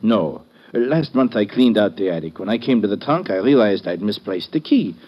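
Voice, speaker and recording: A man's voice: lowish voice, animated, moderate pace, muffled recording. An actor playing a mild, puzzled male witness in an old radio drama, with formal, hesitant phrasing. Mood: uneasy, puzzled. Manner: formal, hesitant, precise wording.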